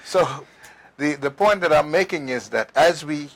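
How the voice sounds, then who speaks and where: A man's voice: on the high side, a little slow, fairly bright tone. A man, a guest historian explaining at length in a conversational interview, emphatic, with fillers and restarts. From Turks and Caicos Islands.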